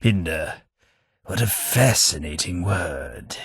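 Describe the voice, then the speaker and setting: An English actor voicing a posh, sardonic ghoul gentleman companion in a video game, with a plummy, dry delivery. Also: low voice, expressive, takes it slowly, vocal fry, breathy edge, no room sound, exaggerated voice. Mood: wry. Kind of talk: game voice lines.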